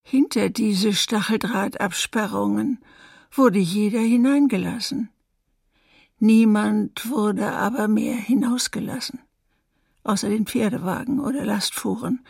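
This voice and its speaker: An actress playing a female survivor who gives sober testimony in a husky, creaky voice for a documentary radio drama. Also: medium pitch, a little slow, darkish tone, no room sound, studio quality. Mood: sober, haunted.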